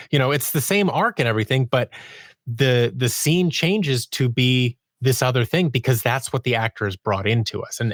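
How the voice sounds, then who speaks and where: Guy on a podcast explaining his creative work, stops and restarts a lot, thinking out loud, dry close sound. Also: medium pitch, no room sound. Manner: halting, thinking aloud, often restarting phrases and acting out quoted remarks. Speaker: podcast guest, audio drama writer and producer. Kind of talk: casual interview conversation.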